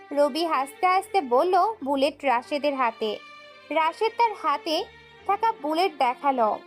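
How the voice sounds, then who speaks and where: A woman narrating a scripted dramatic story as its storyteller. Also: high voice.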